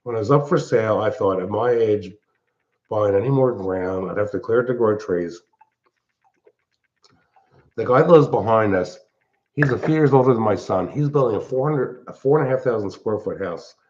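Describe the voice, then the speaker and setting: An older man, hosting a casual livestream from his open-plan home, talking in a loud, blunt, rambling way. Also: on the low side, animated, moderate pace, dull audio. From Pennsylvania. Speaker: livestream host. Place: large open-plan house at night.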